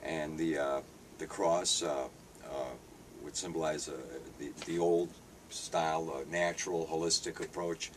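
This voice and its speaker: A man's voice: extremely low pitch, fairly even intonation, unhurried. A man in his fifties from Hungary, answering interview questions hesitantly, with many fillers and restarts. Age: 50s. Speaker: street paper vendor and artist. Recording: distant mic with faint background noise.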